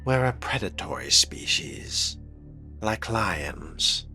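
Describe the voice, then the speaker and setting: Guy playing a new vampire in an rpg, really deep slow voice, short probing questions, impatient. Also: expressive, gravelly, sharp tone, faint music behind, heavily acted. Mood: curious. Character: male fledgling vampire, blunt, inquisitive, impatient. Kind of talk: role-played video game dialogue.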